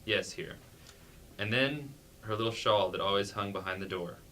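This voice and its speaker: A man's voice: fairly low pitch, moderate pace, bright, forceful tone. A man playing a timid, dutiful sheriff's wife in an amateur staged play reading, with hesitant, deferential delivery. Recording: faint background noise.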